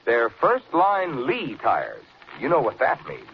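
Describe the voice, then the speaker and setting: Animated male announcer, old radio ad. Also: medium pitch, slow, vocal fry. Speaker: radio announcer reading sponsor message. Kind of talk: 1940s radio advertising pitch. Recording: muffled, faintly noisy old radio recording.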